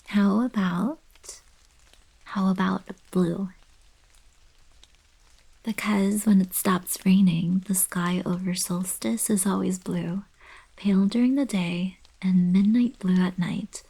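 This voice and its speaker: Young alien princess for ASMR roleplay, played by a woman: caring, regal, easily flustered, breathy half-whisper with a slow delivery and slight creak. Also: medium pitch, heavily acted, poor audio. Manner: soft-spoken, breathy, half-whispered, hesitant. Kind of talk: intimate girlfriend ASMR roleplay acting.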